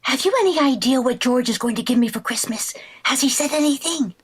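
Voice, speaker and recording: A woman's voice: sits high, a little fast, breathy edge. A woman playing a playful, scheming, wheedling housewife in a 1940s-style radio comedy, coaxing and theatrical. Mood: eager, curious.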